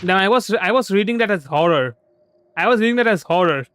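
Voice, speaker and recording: High-voiced young man streaming a game. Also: animated, fast, smooth, no echo. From India. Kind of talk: casual live game commentary. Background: soft background music.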